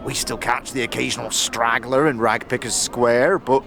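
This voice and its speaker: A man's voice: medium pitch, steady tone, moderate pace, vocal fry, a crowd in the background, lightly acted. A man playing a cheery, chatty ragged corpse collector in an audio drama, upbeat, rambling and slangy. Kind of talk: audio drama character acting.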